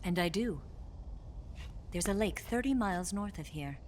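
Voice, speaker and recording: A woman playing a sly, flirtatious double agent in a dramatic video game cutscene, teasing and breathy at times. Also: medium pitch, animated, normal speed, a little mellow, slight background noise, lightly acted. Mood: cool briefing. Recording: music underneath. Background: cinematic game music.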